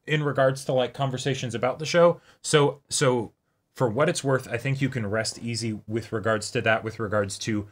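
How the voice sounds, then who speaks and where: A man hosting a reaction show in casual discussion, halting and repeating words as he reasons aloud. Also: medium pitch. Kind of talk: casual discussion of an anime episode.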